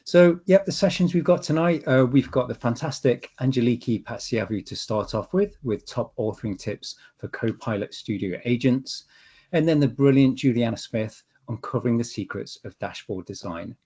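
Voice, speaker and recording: A man hosting an online user group, relaxed and joking, with frequent uh fillers, over a video call. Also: medium pitch, moderate pace, slightly rough, dull audio. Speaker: host. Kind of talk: casual online meetup hosting.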